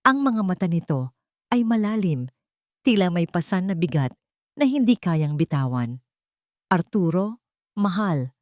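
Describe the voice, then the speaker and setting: Female storyteller for romantic audio drama, lower pitch, scripted dramatic delivery, dry close recording. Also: big pitch swings, normal speed, long pauses, darkish tone, dry recording. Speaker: story narrator.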